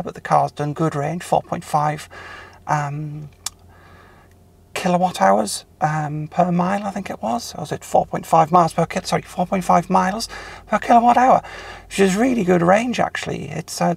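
A woman's voice: low-pitched, gravelly, husky, dry recording. A middle-aged British woman chatting casually and a little hesitantly to camera as a vlogger inside a car. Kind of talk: casual vlog talk to camera. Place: inside a car, parked or driving. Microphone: camera's built-in mic or camera at arm's length. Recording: distant built-in camera mic in car.

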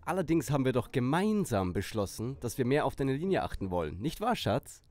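A man's voice: mid-range voice, big pitch swings, fairly quick, a little mellow, lightly acted. A man playing a bossy, aggressive, smug teenage leader in a parody audio play.

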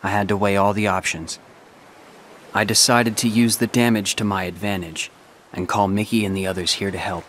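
A man's voice: on the low side, fairly even intonation, normal speed, a bit bright, slight background noise, close to an everyday voice. A young man playing a brooding, self-sacrificing teenage boy warrior in a game cutscene, grave and restrained. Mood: somber, earnest. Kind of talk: video game cutscene acting.

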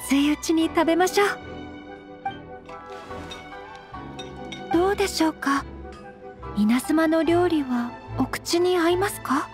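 A high, soft young lady of noble birth for an anime game. She is earnest, shy and impeccably polite, speaking formally over faint background music. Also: normal speed. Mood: polite, sincere. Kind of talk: Japanese anime game voice acting.

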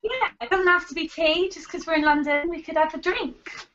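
A woman's voice: very high-pitched, moderate pace. A young Irish woman, a guest chatting casually and laughing easily over a video call from a bedroom. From Ireland. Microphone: video call webcam. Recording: dull lo-fi video call audio with faint noise.

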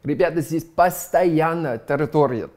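A man's voice: a little high, unhurried, background noise. A man from northern England with a Bolton accent, a native English speaker hosting a scripted lesson in a playful, self-mocking way. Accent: English (Bolton, Lancashire). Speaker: English teacher host. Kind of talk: scripted presenter talk.

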